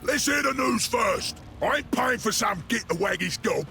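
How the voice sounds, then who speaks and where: A man voicing a surly, cocky ork fighter pilot in a video game, curt and impatient, with a gruff Cockney English voice. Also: very high voice, lively intonation, moderate pace, sharp tone, raised voice, soft background music, heavily acted. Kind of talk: video game character acting.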